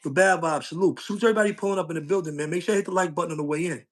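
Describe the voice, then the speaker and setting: Street-talking man, higher voice. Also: racing. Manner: street slang, loops back with "you know what I'm saying". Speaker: social media streamer. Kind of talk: casual livestream talk.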